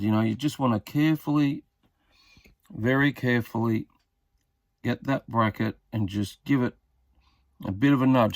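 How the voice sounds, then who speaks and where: A man's voice: medium pitch, dry recording. A man giving a casual hands-on repair tutorial, talking tentatively and muttering as he works. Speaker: hobbyist demonstrating a radio repair.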